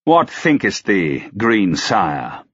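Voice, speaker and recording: A man's voice: medium pitch, sing-song, moderate pace, fairly bright tone, slightly rough, dry recording, lo-fi sound, voice pushed up. A man voicing an imperious, suspicious medieval duke with archaic, commanding, sardonic speech in an audiobook. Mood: serious, pressing. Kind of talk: dramatised audiobook dialogue.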